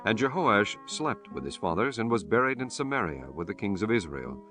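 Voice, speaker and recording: A man reading scripture as an audiobook narrator in a solemn, measured, formal manner. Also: lowish voice, sing-song, a bit bright, faint music behind.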